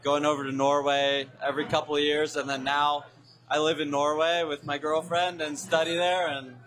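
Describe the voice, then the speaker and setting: Man from Seattle, slightly high voice, enthusiastic, confident fan. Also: flat delivery, moderate pace, fairly bright tone, poor audio. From Seattle, USA. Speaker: fan interviewee. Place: busy bar.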